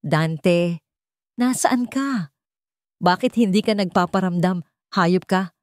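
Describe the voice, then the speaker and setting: A woman voicing a furious, spiteful jailed villainess in an audio story, harsh and holding back shouts. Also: on the low side, takes it slowly, no echo, clean studio sound.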